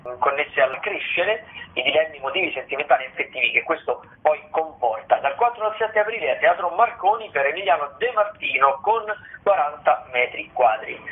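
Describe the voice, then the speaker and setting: Male critic phoning in to radio, slightly high voice, discursive and hesitant, tinny line. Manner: hesitant, discursive, with filled pauses. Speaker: theatre critic, radio guest presenting listings. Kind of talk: conversational radio listings commentary.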